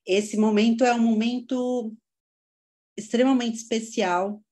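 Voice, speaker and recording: A woman's voice: a little high, fairly even intonation, a little slow, warm tone. A woman hosting a faith podcast, asking long questions and affirming her guest. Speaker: podcast host. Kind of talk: casual interview chat.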